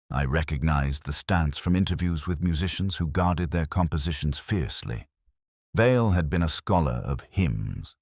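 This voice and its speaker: A man narrating a scripted first-person detective mystery in a measured, formal, literary manner. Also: extremely low pitch, no room sound, clean studio sound.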